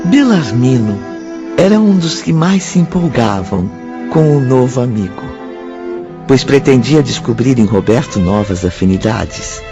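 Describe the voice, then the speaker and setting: A man's voice: medium pitch, expressive, unhurried. A man narrating a radio drama in a scripted, storytelling delivery. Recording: muffled audio under prominent music.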